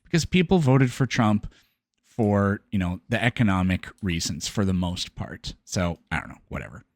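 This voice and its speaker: A man giving casual, off-the-cuff reaction commentary, halting and often stammering as he corrects himself. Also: fairly low pitch, lively intonation, no room sound, clean studio sound. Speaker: commentator reacting to a debate video.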